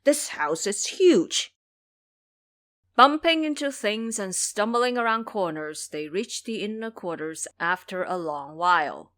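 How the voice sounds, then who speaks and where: A woman's voice: mid-range voice, animated, unhurried, few pauses, bright, forceful tone. A woman giving a scripted, dramatic narration as the storyteller of an audio drama.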